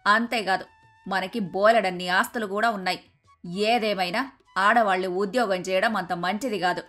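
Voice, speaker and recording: A woman playing a traditional, domineering and sharp-tongued older mother-in-law in a cartoon moral story. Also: mid-range voice, steady tone, normal speed, close to an everyday voice. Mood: stern, scolding. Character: bossy, traditional, scolding older mother-in-law and household matriarch. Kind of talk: dramatised moral story dialogue. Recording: music behind the voice.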